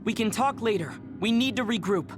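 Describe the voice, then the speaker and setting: A man's voice: high voice, noisy background, lightly acted. Man playing a composed, polite young squad mate. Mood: calm, firm. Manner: measured, formal, calm. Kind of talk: English game voice acting. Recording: music under the voice.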